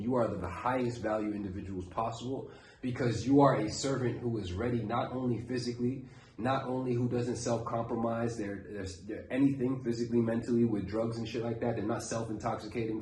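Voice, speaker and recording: A man, born and raised in Brooklyn, preaches in a blunt, profanity-laced motivational rant at an even middle pitch. He keeps circling back to his main point. The recording has a roomy echo over faint background noise. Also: brisk. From Brooklyn, New York. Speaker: self-improvement coach. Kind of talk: casual motivational monologue.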